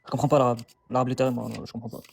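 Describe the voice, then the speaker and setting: A man defends his position in a live online debate. He talks briskly and keeps repeating the same short phrases when challenged. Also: mid-range voice, little pitch movement, gravelly. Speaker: debate guest.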